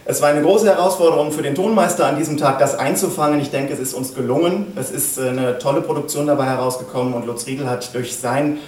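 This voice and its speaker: A man's voice: a little high, fast, few pauses, a little mellow. A middle-aged man giving an acceptance speech at a lectern, recorded from a camera out in the room. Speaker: producer, director and author accepting an award on behalf of an actor. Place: small indoor event stage at a lectern. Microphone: far camera in the audience. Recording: echoey stage room, distant camera, faint hiss.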